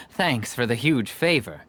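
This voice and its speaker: A man's voice: mid-range voice, a bit bright, slightly rough, exaggerated voice. An actor playing a jovial, persuasive merchant in a video game, with easygoing salesman's patter. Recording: music behind the voice.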